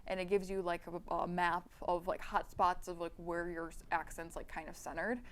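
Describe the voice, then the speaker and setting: A woman's voice: lowish voice, little pitch movement, normal speed. A young woman with a Chicago-area Midwestern accent hosting a casual college podcast, chatty and laughing often with lots of fillers. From northern Illinois, near Chicago. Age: young adult. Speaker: podcast host. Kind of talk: casual college radio chat.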